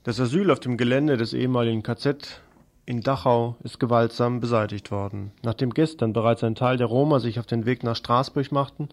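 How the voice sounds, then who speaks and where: A man's voice: medium pitch, fairly even intonation, brisk. A man delivering a scripted news report as a radio reporter.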